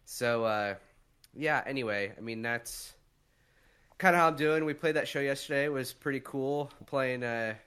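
A man's voice: mid-range voice, moderate pace, a bit bright, clear, resonant tone. A man co-hosting a casual podcast while stuffed up and nasal with a cold, rambling with lots of fillers and swearing. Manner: rambling, full of "like", swears freely. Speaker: podcast co-host.

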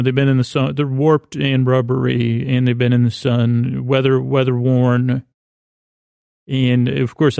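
Man, creaky gravelly voice, mid pitch, halting bedtime-podcast ramble, whimsical, self-deprecating, close dry sound. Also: a little mellow, no echo. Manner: meandering, halting, repeats and trails off mid-thought. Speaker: podcast host.

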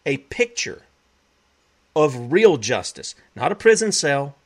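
A man's voice: a little high, fairly bright tone. A man hosting a web broadcast, preaching emphatically, repeating himself and quoting scripture. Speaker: talk show host.

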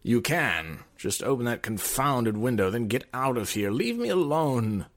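An actor playing a nervous, irritable gentleman master in an old-time radio drama, with a gruff, blustering manner. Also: medium pitch, sing-song, a little slow. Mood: irritable, snappish.

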